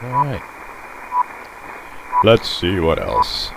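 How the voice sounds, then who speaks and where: A man's voice: fairly low pitch, wildly swooping pitch, very slow, gravelly, very noisy. A man chatting casually and muttering playful asides to himself as he tunes around as a radio hobbyist. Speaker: radio hobbyist showing shortwave listening. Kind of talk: casual rambling chat while tuning. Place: apartment room. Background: shortwave radio playing.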